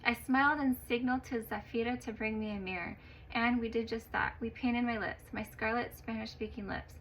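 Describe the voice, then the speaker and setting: A woman narrating a personal story in an expressive way, getting louder at the exciting parts. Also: on the high side, fairly quick, a little hiss or hum, low-quality recording.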